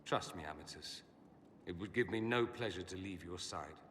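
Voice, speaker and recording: An actor playing a witty, theatrical mage and homesick idealist in a dramatic game scene, with wry, polished delivery. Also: male voice, sits low, moderate pace, a bit bright, long reverb tail, a little hiss or hum. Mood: tender, firm.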